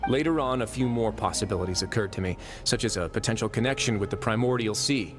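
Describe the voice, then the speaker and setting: An actor as a calm, low-voiced fortress warden. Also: male voice, lightly acted. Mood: measured, explaining. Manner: measured, formal, explanatory. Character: calm, authoritative prison fortress warden, self-possessed and wry. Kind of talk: English video game voice acting. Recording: game music playing behind the voice.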